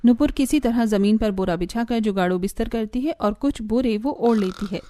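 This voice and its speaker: Mellow high-voiced woman narrating a story. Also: moderate pace, dry recording, studio quality. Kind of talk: scripted narration of a cartoon family story.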